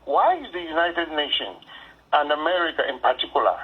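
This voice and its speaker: A woman's voice: low-pitched, big pitch swings, brisk, breathy edge. A woman from Cameroon calling in to a talk show with earnest, pointed questions. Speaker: caller. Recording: tinny phone line.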